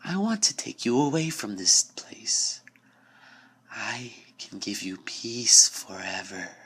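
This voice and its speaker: A man voicing a sinister, seductive door that coaxes slowly in a breathy, falsely soothing whisper, in a horror story reading. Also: lowish voice, very animated, fairly bright tone, full character voice. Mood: amused, soothing. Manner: slow, coaxing, faintly amused.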